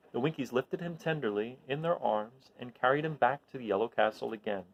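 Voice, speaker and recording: A man reading a children's story aloud expressively, in an animated way that addresses his listeners. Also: medium pitch, vocal fry, lo-fi sound. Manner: animated, recapping with questions to listeners.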